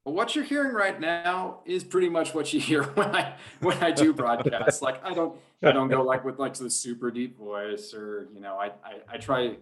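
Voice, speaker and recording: A man with a mid-range voice talks in a relaxed interview style. He sounds conversational and unaffected, like a play-by-play sports announcer speaking as himself rather than putting on a broadcast voice. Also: medium pitch, moderate pace, few pauses. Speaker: high school sports radio play-by-play announcer. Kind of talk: casual interview chat.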